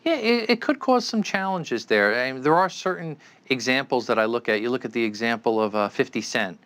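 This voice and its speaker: A man's voice: mid-range voice, animated, fairly quick, a bit bright, clear, resonant tone. A man giving unscripted expert answers in an interview, explaining things with frequent stammered repeats. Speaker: expert guest, commentator.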